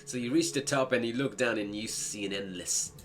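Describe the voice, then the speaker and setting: A man's voice: medium pitch, fairly quick. A man giving casual playthrough commentary, chatty and reacting aloud to a story with questions. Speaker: gaming content creator playing a visual novel. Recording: music playing behind the voice. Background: game music.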